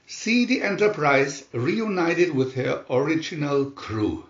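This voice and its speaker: Male narrator, slow and dramatic, lively swooping pitch, for picture-story booklet readings. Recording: dull, echoey room recording.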